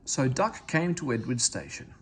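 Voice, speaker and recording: Animated man reading a children's storybook. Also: medium pitch, normal speed, a little hiss or hum. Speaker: storybook reader. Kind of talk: expressive scripted children's story narration.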